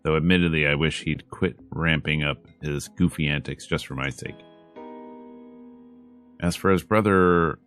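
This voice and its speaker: A man reading a visual novel aloud as a streamer, dramatic in delivery with wry, joking asides, over game music. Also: sits low. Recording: music behind the voice.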